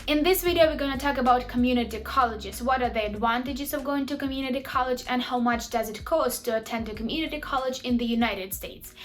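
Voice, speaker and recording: A woman's voice: a little high, fairly bright tone. Young Ukrainian-accented woman vlogging. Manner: chatty, direct, with small grammar slips. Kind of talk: explainer vlog to camera.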